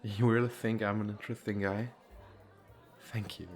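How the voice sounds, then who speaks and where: A man's voice: lowish voice, level tone, moderate pace, faint music behind, a faint crowd, natural voice. A man acting a shy, nervous, wealthy businessman on a speed date in an ASMR roleplay, talking in a hesitant, rambling, self-deprecating way. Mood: shy, earnest. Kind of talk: ASMR roleplay, intimate flirty chat.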